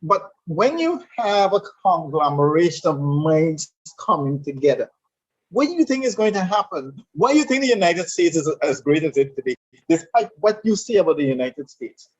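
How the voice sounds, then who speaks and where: Caribbean man lecturing emphatically in a discussion, raised pitch, dull lo-fi audio. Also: big pitch swings, moderate pace. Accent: Caribbean English. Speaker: guest speaker on leadership. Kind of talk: conversational discussion. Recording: dull lo-fi sound.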